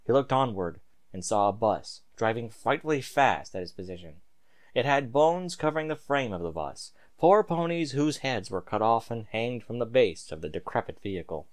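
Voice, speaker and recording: A man's voice: mid-range voice, lively intonation, moderate pace. A man reading a story aloud in a theatrical, emphatic amateur narrating style.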